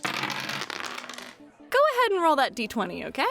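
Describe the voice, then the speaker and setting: A woman's voice: high voice, wildly swooping pitch, slow pace, noisy background, full character voice. A woman playing a friendly, flirty club hostess and game master in an ASMR roleplay, chatty and playful. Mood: narrating, engaged. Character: friendly, flirty club hostess who runs tabletop games. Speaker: host and dungeon master.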